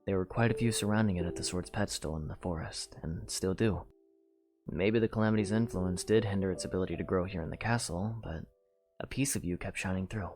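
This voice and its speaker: Man doing a soft-spoken young knight, lowish voice, sincere, music behind. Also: fairly quick. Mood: gentle. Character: young male knight, quiet, gentle, earnest. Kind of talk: fan audio drama.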